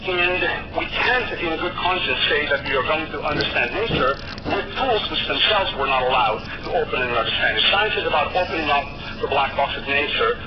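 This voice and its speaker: A middle-aged man from Colombia giving a conference talk, earnest, with frequent restarts and fillers. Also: mid-range voice. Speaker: conference speaker. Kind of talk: keynote talk. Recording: dull, noisy recording.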